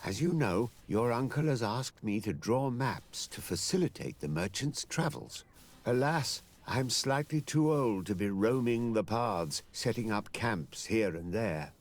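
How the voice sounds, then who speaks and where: An actor playing a courteous older professor and mapmaker in a fantasy game, creaky-voiced, polite and wordy. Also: male voice, on the low side, lively intonation, a little slow. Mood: polite, appealing. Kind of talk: fantasy video game acting.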